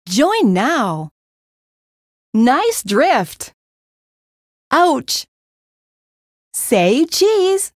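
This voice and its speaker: A woman voicing a friendly game menu announcer giving measured prompts. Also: sits high, dry recording, studio quality, full character voice.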